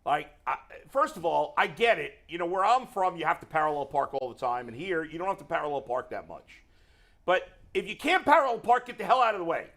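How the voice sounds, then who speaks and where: A middle-aged man with a New York accent and a deep voice, though it sits a bit higher than most men's. He rants in loose, exasperated bursts as a talk show co-host. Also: a little fast, vocal fry. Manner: animated, exasperated ranting. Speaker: sports radio co-host. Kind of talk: casual ranting chat.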